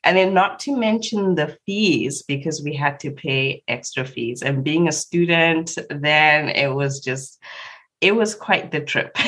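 Low-voiced woman from Zimbabwe. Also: expressive, takes it slowly, a bit bright. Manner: laughs often, many fillers and restarts. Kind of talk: casual storytelling chat.

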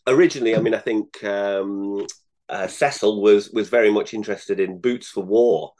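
A man speaking as an interview guest, explaining things conversationally with measured, hesitant pauses. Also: fairly low pitch, a little mellow. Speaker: guest, sales director. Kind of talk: casual interview talk.

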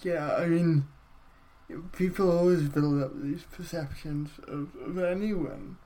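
Thoughtful male interviewer, fairly high voice. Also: steady tone, takes it slowly. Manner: thoughtful, reflective, warm affirmations. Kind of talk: casual interview.

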